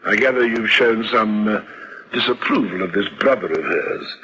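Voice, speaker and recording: An actor playing an avuncular, unhurried old family doctor in a radio drama, full of reassurance. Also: male voice, on the low side, bright, forceful tone, slight background noise, low-quality recording. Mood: jovial, kindly.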